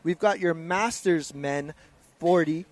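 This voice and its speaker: Male race commentator, slightly high voice, casual and loose, lots of fillers. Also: slow pace. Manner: relaxed, loose delivery with many fillers and self-corrections. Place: outdoor race venue broadcast.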